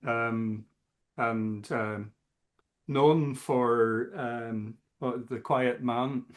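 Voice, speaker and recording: A man talking as a guest musician in a casual interview, halting often with fillers. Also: medium pitch, fairly even intonation. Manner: slow, halting, many fillers.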